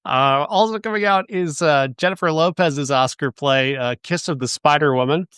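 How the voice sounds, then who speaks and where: A man's voice: fairly high pitch, moderate pace, fairly bright tone, clear, resonant tone, dry recording, clean studio sound. Upbeat laughing podcast man. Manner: upbeat, laughs often, with filler ums and uhs. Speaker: podcast co-host. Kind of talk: casual film chat.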